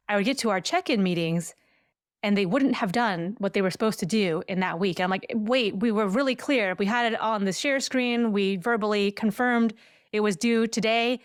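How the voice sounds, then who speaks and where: A woman's voice: mid-range voice, clear, resonant tone, no echo, clean studio sound. A woman hosting an interview in a casual, informal chat style, telling anecdotes and voicing quoted remarks. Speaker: podcast host.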